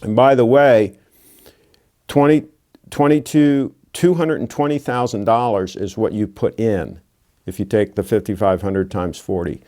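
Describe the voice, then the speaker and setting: A sixty-year-old man talks straight to his viewers in a blunt, lecturing way, tossing in folksy asides. His voice sits low and sounds dark and mellow. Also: measured pace. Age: 60s. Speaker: watch channel host. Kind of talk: casual monologue to camera.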